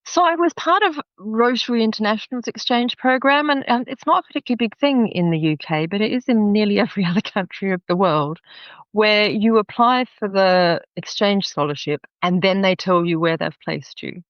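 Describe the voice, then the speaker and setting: A woman's voice: mid-range voice, a little fast, no echo, highs cut off. A woman in her fifties with an Australian accent, a podcast guest telling stories chattily and often laughing. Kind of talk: podcast interview.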